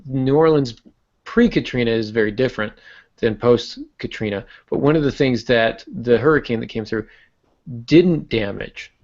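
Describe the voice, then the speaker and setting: A man with a creaky, gravelly voice teaches writing in an informal, conversational way. He leans on key words and asks rhetorical questions. The recording is dull and lo-fi. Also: mid-range voice, moderate pace, darkish tone. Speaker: podcast host and writing teacher.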